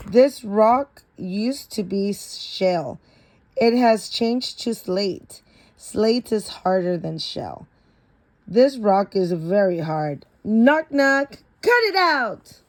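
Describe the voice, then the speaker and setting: Slow-paced woman reading a storybook aloud. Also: medium pitch, mellow. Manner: halting, repeats and corrects words while reading. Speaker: reader of a children's picture book. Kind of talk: read-aloud of a children's science storybook.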